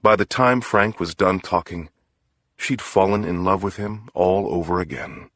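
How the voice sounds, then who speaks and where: A man narrates a crime story in a very low-pitched voice, recorded close and dry. The delivery is scripted audiobook storytelling, with a slightly rough, husky edge. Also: a little slow, a bit bright, dry recording.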